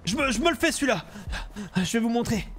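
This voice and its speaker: A man streaming an improvised game roleplay, talking in an excitable, theatrical way. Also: very high voice, fast. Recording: noisy game sound behind voice.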